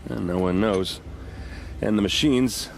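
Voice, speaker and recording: Easygoing soldier, low voice, wry, flirty, talkative, game dialogue, faint outdoor noise. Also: male voice, measured pace, creaky. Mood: explanatory. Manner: casual, wry, conversational with hesitations. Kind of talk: naturalistic video game dialogue acting.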